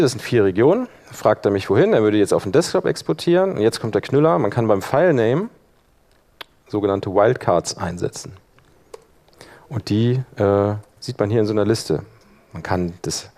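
A man with a fairly low voice leads a hands-on software workshop. He explains things informally as he works, talking himself through each step in a conversational, instructional way. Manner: informal, talking through clicks while working. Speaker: workshop presenter, audio editor. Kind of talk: live software demonstration, explanatory.

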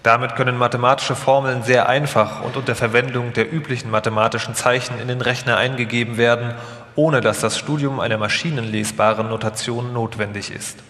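A man's voice: medium pitch, fairly even intonation, brisk, a bit bright. A man reading scripted documentary narration as a narrator in a live radio feature. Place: large reverberant hall. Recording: long hall reverb, live performance.

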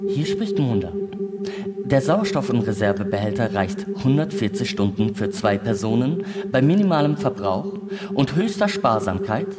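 A man's voice: mid-range voice, close to an everyday voice. A man playing an unflappable space station computer in a radio play, reciting regulations in formal, official phrasing. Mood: officious, instructing. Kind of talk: radio play reading, scripted announcements.

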